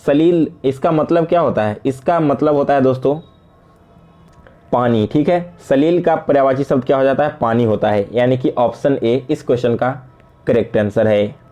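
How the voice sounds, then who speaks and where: A young man with a mid-pitched voice teaches as an exam-prep tutor. He explains quiz questions step by step in a conversational way, posing questions and answering them himself. English words are mixed into his explanations. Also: moderate pace, warm tone, lo-fi sound, faint music behind. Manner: conversational teaching with rhetorical questions and check-in tags; mixes English words into speech. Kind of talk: explanatory lesson, working through quiz questions.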